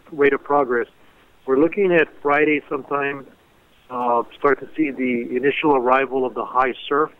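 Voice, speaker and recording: Guy doing an official emergency update on a conference call, pretty monotone, measured w pauses, tinny phone sound. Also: mid-range voice. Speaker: civil defense official giving a briefing. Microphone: telephone conference call.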